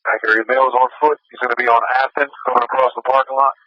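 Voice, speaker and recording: An American man giving piecemeal location updates as a police air observer over radio, pausing between phrases. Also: medium pitch, flat delivery, dry recording. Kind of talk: live radio pursuit updates. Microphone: radio transmission. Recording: muffled police scanner radio audio.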